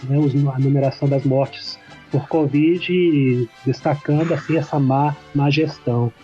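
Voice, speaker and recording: A Brazilian man in his thirties, a sociologist guest chatting casually on a podcast, easygoing and quick to laugh. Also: mid-range voice, steady tone, warm tone, slightly rough, muffled recording, soft background music. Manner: easygoing, laughs often, many "né" tags.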